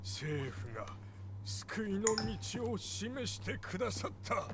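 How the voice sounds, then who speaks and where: An actor playing a stern, anguished old village priest, lamenting and preaching in a game. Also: male voice, high-pitched, big pitch swings, slow, background noise, exaggerated voice. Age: older.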